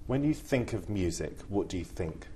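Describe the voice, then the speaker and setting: A man interviewing a guest, asking short questions in a brisk, polite way. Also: deep voice, expressive, dark tone, slight background noise.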